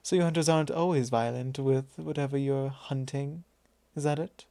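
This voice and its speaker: A man playing a possessive, teasing vampire lord in an intimate ASMR roleplay, speaking softly in a measured, drawling, formal way. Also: medium pitch, fairly even intonation, vocal fry, no room sound, lightly acted. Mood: curious, musing. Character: possessive male vampire lord, teasing, calmly probing his thrall.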